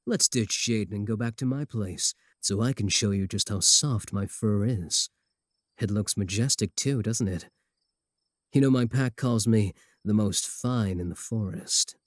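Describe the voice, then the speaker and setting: Man acting as a low, boastful werewolf, dry close sound. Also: unhurried, no echo, close to an everyday voice. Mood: boastful, scornful. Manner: taunting, sardonic, doting on the listener. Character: possessive, boastful male werewolf, sardonic rival suitor. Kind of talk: comedic ASMR roleplay acting.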